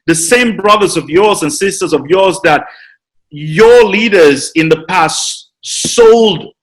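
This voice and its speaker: A man's voice: sits high, takes it slowly, low-quality recording. A man, a podcast guest giving earnest, explanatory answers that build to passionate appeals. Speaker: podcast guest, citizenship consultant. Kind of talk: conversational interview.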